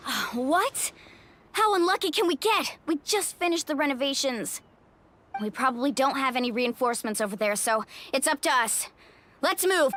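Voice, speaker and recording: A woman voicing an upbeat, brave young surfer warrior in a video game, energetic and casual. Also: sits high, normal speed, a little hiss or hum. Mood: exasperated, determined.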